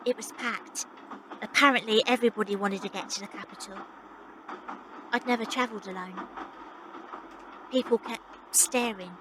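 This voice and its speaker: A woman's voice: medium pitch, steady tone, moderate pace, long pauses, background noise, natural voice. A young woman playing a plucky Victorian circus runaway who narrates her own story. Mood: reflective, wry. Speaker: lead actress and narrator. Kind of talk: first-person audio drama narration.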